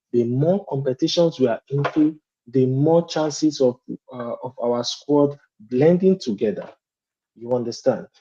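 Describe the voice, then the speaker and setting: A man sharing his opinion as a guest fan in a call-in discussion, speaking deliberately and often checking in with "you understand?". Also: medium pitch, warm tone, husky, muffled recording.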